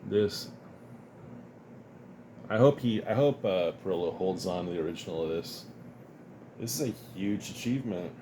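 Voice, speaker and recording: A man's voice: low voice, moderate pace, pauses often, slight background noise. A man chatting casually and rambling as he shows off his comic and card haul, laughing now and then and sounding a bit sniffly. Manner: relaxed, rambling, chuckles often. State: sniffly from dust. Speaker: comic collector vlogger. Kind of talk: casual unboxing chat.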